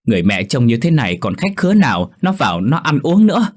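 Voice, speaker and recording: A man voicing a burly, drunk middle-aged son who scolds his old mother loudly and impatiently. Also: expressive, normal speed. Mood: angry scolding. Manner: loud, scolding, booming.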